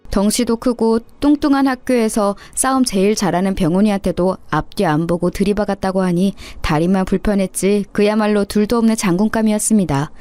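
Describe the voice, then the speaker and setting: A woman's voice: mid-range voice, dark tone, no echo. A woman reading a scripted first-person story as a narrator.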